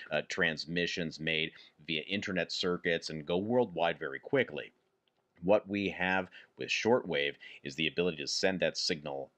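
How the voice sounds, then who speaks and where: A man hosting a hobby radio channel, giving an informal, measured, instructive explanation. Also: deep voice. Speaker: host of a ham radio channel.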